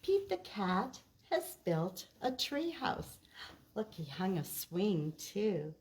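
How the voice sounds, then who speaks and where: A woman hosting a preschool storytime, reciting rhymes and reading aloud in an expressive, simple way for small children. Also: mid-range voice, slow pace. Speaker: preschool storytime host at a library. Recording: roomy echo, distant mic.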